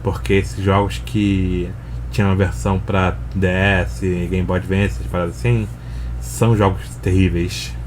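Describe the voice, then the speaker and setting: A man's voice: sits low, flat delivery, unhurried, a little mellow, a little hiss or hum. A Brazilian man giving casual, slangy, conversational commentary as he reacts to a video.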